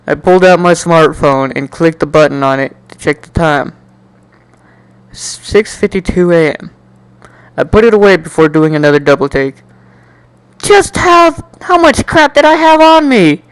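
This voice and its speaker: High-voiced man giving an animated story reading. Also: moderate pace, clean tone, dry recording, poor audio. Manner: animated, jokey asides, stumbles and repeats words. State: coughing, slightly sick. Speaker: amateur fan fiction reader. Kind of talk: dramatic reading of a first-person story.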